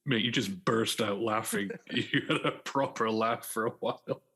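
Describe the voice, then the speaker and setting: A man hosting a relaxed podcast chat. His voice is low and casual. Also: moderate pace, slightly rough. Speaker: podcast host.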